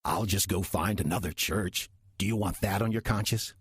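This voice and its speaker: An actor playing a wry, lazy old male demon who poses as a churchgoer in an audio drama, gravelly, husky and theatrically sardonic. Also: low-pitched, moderate pace, fairly bright tone, no echo, studio quality, full character voice. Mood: sly, amused. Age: older.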